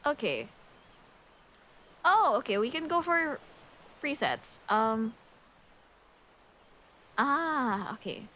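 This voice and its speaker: A woman's voice: sits high, big pitch swings, very slow, pauses often, fairly bright tone, a little hiss or hum. A young adult woman from the Philippines with a Filipino English accent, chatting casually and giggly while thinking aloud on a game livestream. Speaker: streamer.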